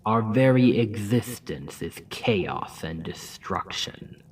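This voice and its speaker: A scheming, seductive demon king, played by a man speaks slowly and in a low voice, his tone rising and falling with lively swings. He often drops into a close, intimate whisper, as in an ASMR roleplay. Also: slightly rough, exaggerated voice. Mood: scornful. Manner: slow, deliberate, often whispered.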